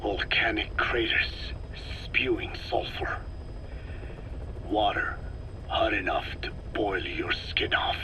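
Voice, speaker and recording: Slow, solemn old scientist. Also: male voice, on the low side, noisy background. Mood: measured, lecturing. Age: older. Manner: solemn, deliberate, formal lecturing. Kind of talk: video game cutscene acting.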